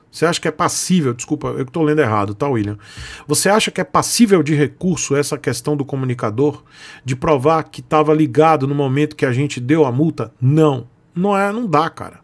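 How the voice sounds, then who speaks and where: A Brazilian man in his forties gives casual advice to viewers in a somewhat high-pitched voice. He is blunt and emphatic, hammering short colloquial phrases like a host answering questions. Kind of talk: casual advice chat to camera. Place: small home room, indoors.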